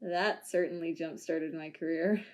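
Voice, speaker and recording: A woman's voice: low-pitched, fairly even intonation, a little slow, a little mellow. A woman voicing a retired policewoman in an amateur audio drama, dry and sardonic. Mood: wry.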